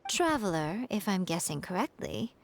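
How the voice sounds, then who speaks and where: An actress playing a sly, elegant shrine priestess in a video game, speaking in a measured, knowing way. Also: female voice, fairly low pitch, sing-song, creaky. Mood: composed. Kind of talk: video game character dialogue. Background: soft game music.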